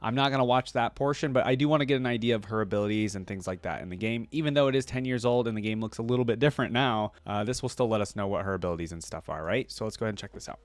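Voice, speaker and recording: A man's voice: fairly low pitch, very fast, few pauses, no room sound. A man giving casual, off-the-cuff reaction commentary as a video creator.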